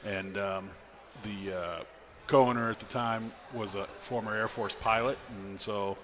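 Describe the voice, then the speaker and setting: A man's voice: lowish voice, fairly even intonation, unhurried, fairly bright tone. A man from Massachusetts chatting as an interview guest, wry and self-deprecating. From South Boston, Massachusetts. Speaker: guest, timber frame carpenter and salesman. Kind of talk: casual interview chat. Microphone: broadcast microphone. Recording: noisy live event background.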